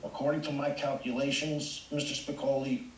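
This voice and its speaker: An actor at a table read playing a stern high school teacher with a formal, measured, dryly sarcastic manner. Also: male voice, little pitch movement, reverberant, slight background noise, natural voice, low-quality recording. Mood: dryly menacing. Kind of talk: live comedy table read.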